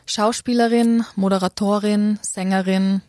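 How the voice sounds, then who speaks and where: A woman's voice: mid-range voice, steady tone, a little slow, a little mellow, no room sound. Chatty young Austrian woman, dry sound. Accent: Austrian German. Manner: chatty, laughs often, mixes in English words. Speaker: interview guest, content creator. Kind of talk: casual interview chat.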